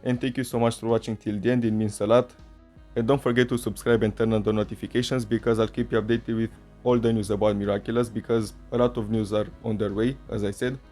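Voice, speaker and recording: Mellow-voiced man, Romanian native speaker. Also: on the low side, little pitch movement, quick pace, faint music behind. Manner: chatty, self-correcting fan commentary. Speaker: channel host. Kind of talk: casual commentary video.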